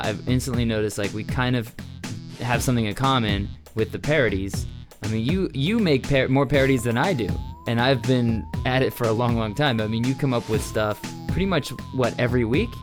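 A man's voice: mid-range voice, moderate pace. A man hosting a comedy show, wisecracking through music news and chatting with a guest. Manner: wisecracking, animated. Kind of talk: comic music news chat.

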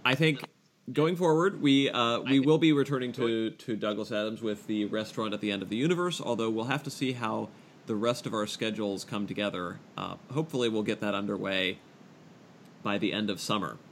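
Halting, low-voiced man on a podcast. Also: slight background noise. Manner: halting, self-deprecating, repeats phrases as he goes. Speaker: podcast host. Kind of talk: casual podcast discussion.

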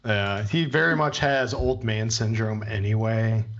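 A man chatting casually as a podcast co-host, with a dry, halting manner. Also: on the low side, steady tone, vocal fry, a bit bright. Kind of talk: casual chat. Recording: dull, lo-fi voice recording.

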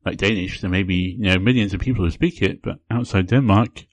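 A man hosting his own podcast, talking casually on his own in an unhurried way and often repeating words as he gathers his thoughts. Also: deep voice, dry recording. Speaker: podcast host. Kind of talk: casual solo podcast talk.

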